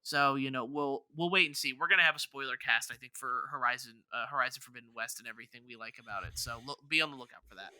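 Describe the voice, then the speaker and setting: A man's voice: medium pitch, quick pace, few pauses, pushed and bright, smooth, no echo. A man co-hosting a gaming podcast, chatting casually and circling back over his words as he talks. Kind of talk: casual chat about video games.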